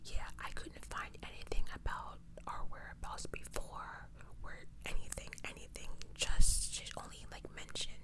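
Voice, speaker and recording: The voice never rises out of a full, unvoiced whisper. It belongs to an ASMR creator recounting true crime in a conversational way, stepping aside to comment casually and restating points for emphasis. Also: moderate pace.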